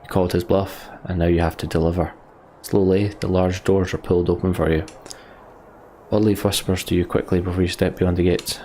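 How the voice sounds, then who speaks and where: A man's voice: deep voice, little pitch movement, fairly quick, mellow, a little hiss or hum. A man hosting a let's play, commenting on the game and reading its story text aloud as narration.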